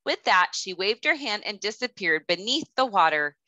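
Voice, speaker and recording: A woman in her thirties, a kindergarten teacher reading a children's storybook aloud with careful, expressive delivery. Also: medium pitch, normal speed, no room sound, low-quality recording. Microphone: headset.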